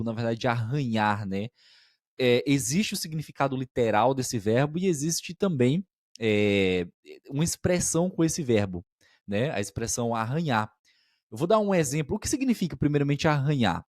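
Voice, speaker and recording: A man of average pitch explains expressions to learners, patient and methodical, often pausing to check that they follow. His voice sits close to the mic in a dry, dead room with no echo. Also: darkish tone. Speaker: podcast co-host and language teacher.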